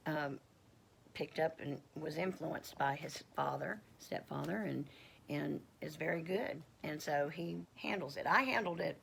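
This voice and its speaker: Woman, middle-aged, croaky, low-pitched, rambling casual testimony, halting with fillers. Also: a little hiss or hum. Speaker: homestead vlogger.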